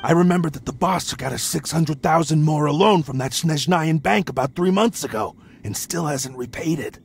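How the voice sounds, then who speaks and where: A man's voice: a little high, moderate pace, gravelly, slight background noise. An actor playing a nervous male bandit underling in a video game, stammering and jittery. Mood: explaining. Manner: stammering, jittery, then knowing.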